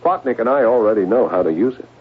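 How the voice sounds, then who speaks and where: An actor in a 1970s radio drama playing an agitated, paranoid middle-aged intelligence agent, intense and halting in delivery. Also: male voice, mid-range voice, animated, slightly rough. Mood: earnest, confiding. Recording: muffled, noisy old radio broadcast.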